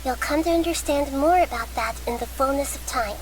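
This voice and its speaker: A childlike goddess of wisdom voiced by a woman speaks in a high, gentle voice for a video game. Her phrasing is formal, measured and calm, over clear background noise. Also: close to an everyday voice, rough recording. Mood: composed. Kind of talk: video game character acting.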